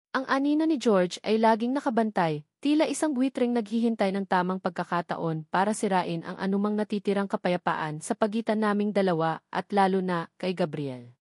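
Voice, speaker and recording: A woman with a Filipino accent narrates a scripted first-person romance drama in a measured, dramatic storytelling delivery. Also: medium pitch, a little mellow, no room sound, studio quality.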